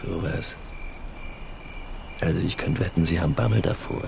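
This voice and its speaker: An actor in a radio drama playing a sly, awkward country fellow with halting, needling, colloquial talk. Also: male voice, noisy background. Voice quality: very low, creaky.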